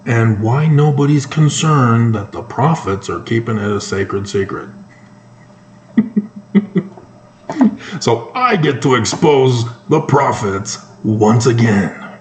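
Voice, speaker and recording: Slow-talking North American man, echoey room. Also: mid-range voice, lively intonation. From North America, moved around the United States and Canada. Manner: halting, with frequent pauses mid-sentence; sarcastic. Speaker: solo commentator. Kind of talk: informal opinion commentary on news. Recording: echoey room with faint background noise.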